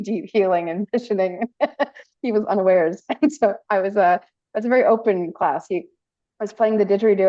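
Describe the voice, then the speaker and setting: A woman hosting a casual conversation, her voice lowish and heard through dull, lo-fi audio. She tells anecdotes with many fillers and breaks into laughter often. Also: dark tone. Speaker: podcast host.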